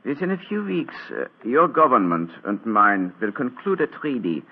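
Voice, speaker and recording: An actor playing an injured German count with a German accent, speaking haltingly in a 1940s radio drama. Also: male voice, mid-range voice, sing-song, husky. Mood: earnest. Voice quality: halting, hesitant with many uh's. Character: injured German count, weak, earnest diplomat. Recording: tinny old radio broadcast.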